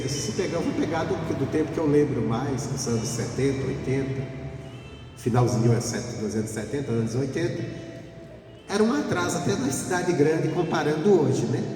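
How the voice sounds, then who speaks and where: A man's voice: fairly high pitch, dark tone. Man preaching in echoey hall. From rural Pernambuco, Northeast Brazil. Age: middle-aged. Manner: conversational, repeats phrases for emphasis. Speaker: pastor preaching. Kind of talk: informal, anecdotal sermon. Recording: echoey hall, distant mic, faint music.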